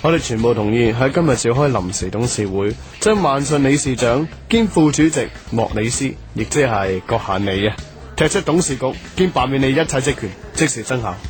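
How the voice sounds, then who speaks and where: Brash, animated young boss man, voiced by an actor. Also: medium pitch, fairly quick, soft background music, close to an everyday voice. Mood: cool, cutting. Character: brash, excitable young male company boss, playful and cocky. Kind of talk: Cantonese radio drama acting.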